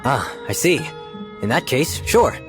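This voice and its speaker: An actor playing a polite, helpful innkeeper in a video game, speaking in a courteous, formal and obliging way. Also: male voice, mid-range voice, very animated, measured pace, music in the background. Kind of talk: scripted video game acting.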